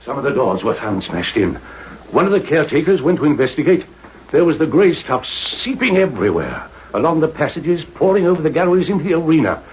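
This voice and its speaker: Tense, sardonic investigator for radio sci-fi, lively swooping pitch, on a muffled recording. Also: male voice, brisk. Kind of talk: radio play acting. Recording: dull noisy radio play recording.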